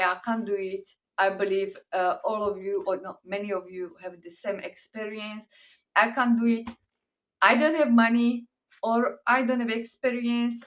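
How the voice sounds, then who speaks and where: A woman whose first language is Slovak speaks English with a Slovak accent, earnest and encouraging, in plain, simple phrasing. Her voice sits at a middle pitch. She sounds a little distant from the microphone. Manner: earnest, encouraging, simple non-native grammar. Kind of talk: encouraging faith talk to camera.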